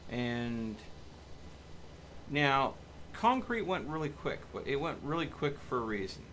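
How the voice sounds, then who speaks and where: A man's voice: mid-range voice, lively intonation, unhurried, a bit bright. A man giving casual live commentary as he streams a video game. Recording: clear background noise.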